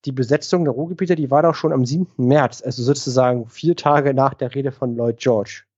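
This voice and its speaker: Podcast co-host, man, mid-pitched and conversational, on a dull, dry home mic. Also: steady tone, brisk, darkish tone, dry recording. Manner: searches for words, adds asides and questions. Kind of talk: casual history podcast chat.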